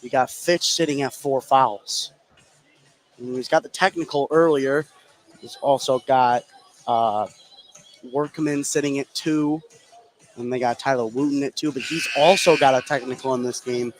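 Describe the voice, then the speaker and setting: A man's voice: mid-range voice, fairly even intonation, unhurried. A man giving live analysis as the color commentator on a basketball broadcast. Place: indoor basketball gym, courtside.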